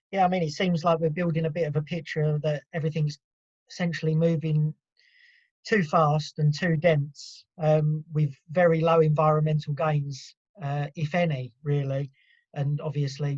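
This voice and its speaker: A man's voice: on the high side, darkish tone, no room sound. A man informally chairing an online meeting over lo-fi video call audio. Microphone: video call microphone.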